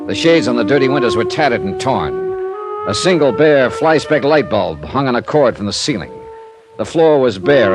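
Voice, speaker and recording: An actor playing a steady, concerned male insurance investigator, plain-spoken and businesslike, in a 1950s radio drama. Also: medium pitch, close to an everyday voice. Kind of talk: 1950s radio drama acting with scripted first-person narration. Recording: old radio broadcast with music.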